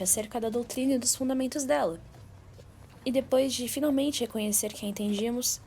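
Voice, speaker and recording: High-pitched teenage Brazilian girl narrating. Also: mellow. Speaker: student narrator. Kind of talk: scripted narration of a literary radio drama. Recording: amateur recording with background noise.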